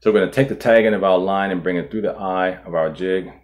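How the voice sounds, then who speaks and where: A man in his forties, host of a fishing channel, calmly walking viewers through tying a knot step by step, filmed indoors at a table. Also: low-pitched, fast. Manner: calm, methodical, walks through each step. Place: indoor studio in front of a boat.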